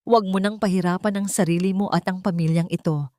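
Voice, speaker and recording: A woman plays a domineering daughter-in-law from an audio drama. She is cold and sanctimonious, giving orders like sermons. The voice sits fairly low and the recording is very dry. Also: steady tone, fast, warm tone, dry recording, close to an everyday voice. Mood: cold, preachy. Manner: preachy, commanding. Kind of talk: audio story character dialogue.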